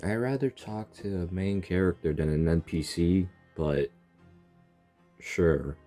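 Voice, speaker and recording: A man's voice: sits low, slow pace, dark tone, soft background music. A man giving casual reaction commentary over gameplay, teasingly talking back to the characters. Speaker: gaming video creator.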